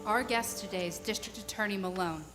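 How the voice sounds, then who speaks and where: A woman's voice: medium pitch, brisk, over loud music, natural voice. A woman playing a businesslike, probing news interviewer in a radio drama. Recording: live hall reverb, faint noise.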